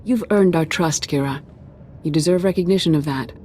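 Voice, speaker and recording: A dignified female Jedi master played by an actress leading a council in a video game. She speaks lowish, measured and formal, with authority, over faint ambient noise. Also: a little mellow, lightly acted. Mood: solemn.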